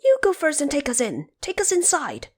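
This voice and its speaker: A playful, curious monkey as a woman acts it out from a storybook audio drama. The voice is high and swings about as it eagerly asks and exclaims. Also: wildly swooping pitch, moderate pace, a little mellow.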